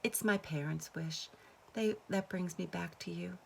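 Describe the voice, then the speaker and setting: A woman's voice: lowish voice, measured pace, vocal fry, a little hiss or hum. A woman voicing a shy, dutiful, reluctant young peasant girl in a storybook.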